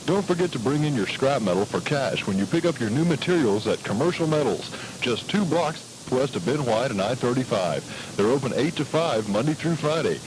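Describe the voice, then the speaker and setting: A man's voice: mid-range voice, expressive, moderate pace. An American man delivering a scripted local radio commercial as an announcer. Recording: noisy 1980s radio ad on cassette.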